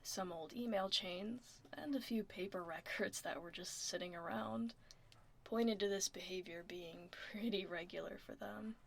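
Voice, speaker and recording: A woman playing a laid-back tomboy werewolf office admin in a soft, casual, wry ASMR roleplay. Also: medium pitch, unhurried, vocal fry. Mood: confiding, wry. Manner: casual, reassuring, wry, murmuring. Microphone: close binaural ASMR microphone. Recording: close binaural mic, faint background noise.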